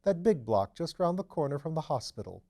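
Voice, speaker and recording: A man's voice: a little high, very animated, brisk, dark tone, pitched above the performer's own voice. Man voicing a genteel, gossipy old dowager duchess. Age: older. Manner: gossipy, genteel, fussing kindly. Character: genteel dowager duchess, older mother, chatty. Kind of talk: audiobook character dialogue.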